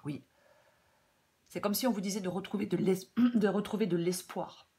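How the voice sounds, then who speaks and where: Slightly hoarse French woman reading tarot. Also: medium pitch, normal speed, mellow. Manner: hesitant, many fillers and repeated phrases. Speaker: tarot reader. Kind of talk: casual spoken tarot guidance.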